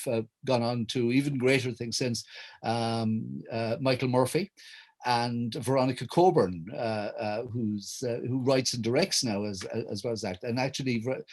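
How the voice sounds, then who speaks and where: Irish man, mid-pitched, middle-aged or older, hesitant, wry, anecdotal interview guest. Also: darkish tone. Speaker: guest, writer and director. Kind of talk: anecdotal reminiscence in a public conversation.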